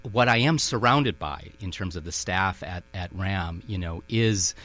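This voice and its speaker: A man's voice: deep voice, a little slow, a bit bright, soft background music. A middle-aged man with a Minnesota accent, a museum director talking through his answers in a radio interview with lots of fillers and hedges. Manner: thoughtful, many fillers and hedges.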